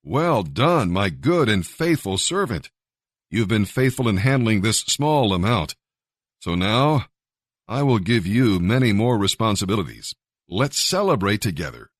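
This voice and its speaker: A man's voice: on the low side, big pitch swings, a little slow, dry recording, studio quality. A man voicing a wealthy, authoritative master addressing his servants in a scripture story. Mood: approving.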